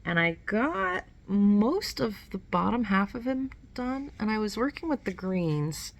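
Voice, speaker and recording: A woman's voice: mid-range voice, very animated, unhurried. A woman in her thirties chatting casually about cross stitch to camera at home, a bit nasal from a cold and rambling with small self-corrections. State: nasal, getting over a cold. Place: small indoor room at home.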